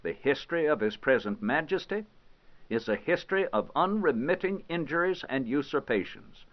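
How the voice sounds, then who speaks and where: A man playing a stately, indignant 18th-century American statesman, reading a formal document aloud in measured, emphatic phrases. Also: medium pitch, dull audio, lightly acted. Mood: solemn, reasoned. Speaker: historical interpreter.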